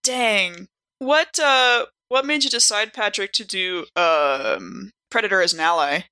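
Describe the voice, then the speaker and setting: A woman's voice: medium pitch, lively intonation, measured pace, bright, forceful tone, clear, resonant tone, no echo. A woman on a podcast, chatting casually as one of the cast. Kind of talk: casual chat.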